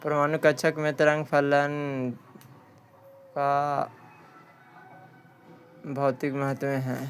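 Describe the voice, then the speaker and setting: Flat-toned man reading quiz questions, slowly. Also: on the high side, soft background music. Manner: repeats questions before answering. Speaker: teacher. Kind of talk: exam quiz lesson, reading questions and answers.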